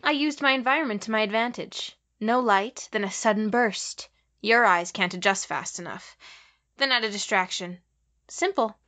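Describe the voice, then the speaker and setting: A woman playing a blinded, vengeful figure with power over light and dark, speaking in solemn, deliberate declarations in an audio drama. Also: mid-range voice, natural voice. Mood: coolly smug.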